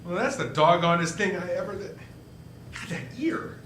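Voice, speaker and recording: A man playing a troubled, wry everyman in a 1940s-style radio play, with halting, folksy, stammering speech. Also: high-pitched, expressive, fairly bright tone, echoey room, slight background noise. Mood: baffled, agitated. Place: indoor stage performance.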